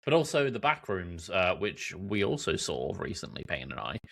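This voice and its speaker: A man with a slightly low voice chats casually as a podcast panelist. He is wry and joking, and there is loud crowd-like chatter behind his voice. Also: big pitch swings, moderate pace, creaky. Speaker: podcast guest. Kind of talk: casual chat.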